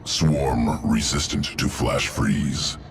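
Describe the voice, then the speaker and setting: An actor playing a cold, clinical insectoid alien in a video game, growling in clipped, telegraphic phrases. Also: male voice, takes it slowly, noisy background, exaggerated voice. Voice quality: very deep gravelly growl. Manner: clipped telegraphic speech without articles. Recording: loud music under the voice.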